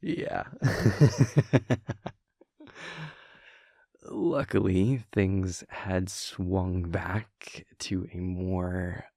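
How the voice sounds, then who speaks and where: A man playing an affectionate, playful vampire prince boyfriend in an intimate roleplay audio, speaking in a relaxed, conversational drawl with a low, creaky voice. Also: no echo, studio quality, heavily acted. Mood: relaxed, fond. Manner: halting, chuckling, relaxed drawl.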